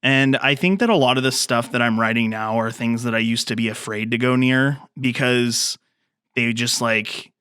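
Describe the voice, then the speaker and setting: A man's voice: medium pitch, normal speed, sharp tone, clean tone, dry recording, studio quality. A man in his thirties, a filmmaker guest on a podcast, talking casually and rambling with many fillers and restarts. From grew up in the mountains. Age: 30s.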